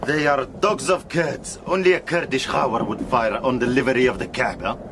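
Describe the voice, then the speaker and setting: An actor playing a wary, fierce, loyal Eastern guide on horseback, with formal, archaic, emphatic speech. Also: male voice, medium pitch, moderate pace, natural voice. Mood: scornful. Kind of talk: audio drama acting. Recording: noisy horse and outdoor effects behind.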